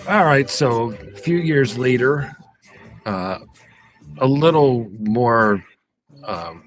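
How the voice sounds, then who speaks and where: A male podcast co-host speaks in a casual, conversational way. His voice sits at an average pitch. Music is clearly audible behind it. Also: animated, takes it slowly, no room sound.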